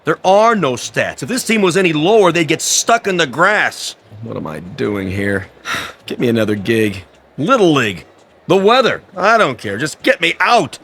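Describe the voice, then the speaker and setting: An actor playing a jaded, sardonic American baseball announcer with showy patter in a radio drama. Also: male voice, a little high, sing-song, moderate pace, fairly bright tone, a little hiss or hum. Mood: sour, sardonic. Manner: showy on air, sardonic grumbling off it. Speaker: sports announcer. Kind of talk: American radio drama acting, broadcast commentary.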